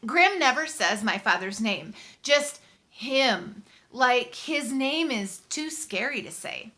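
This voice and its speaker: A woman reading a story aloud in the first person, conversational and expressive, leaning on key words for dramatic emphasis. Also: a little high, slow pace. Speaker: audiobook reader.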